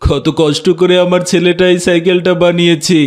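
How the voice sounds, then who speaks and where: A man's voice: high-pitched, little pitch movement, brisk, lightly acted. A man voicing a sympathetic village father in a cartoon, earnest and plaintive. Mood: aggrieved. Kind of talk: cartoon voice acting.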